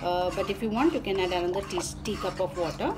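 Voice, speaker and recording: A woman's voice: fairly low pitch, quick pace, background noise. A woman with an Indian accent giving casual step-by-step recipe narration as a cooking channel host.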